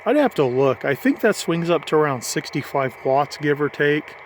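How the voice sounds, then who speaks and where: A middle-aged man giving a casual hands-on demonstration in a folksy, informal way, thinking out loud as he goes. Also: fairly high pitch, sing-song, normal speed. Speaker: hobbyist vlogger demonstrating a CB radio. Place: in or beside a parked vehicle. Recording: clearly noisy handheld amateur recording.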